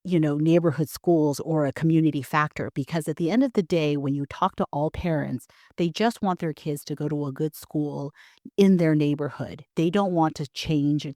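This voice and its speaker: A woman from the Bay Area with an American accent, explaining things in a measured, articulate way as a parent guest on a podcast. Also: sits low, warm tone, no room sound, clean studio sound. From San Francisco Bay Area. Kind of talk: explanatory interview talk.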